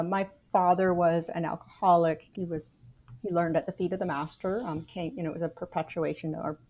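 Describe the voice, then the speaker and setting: A woman's voice: on the low side, darkish tone. A Canadian woman in her forties, a podcast guest, telling her life story frankly and with dry wry humor. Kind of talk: casual interview storytelling.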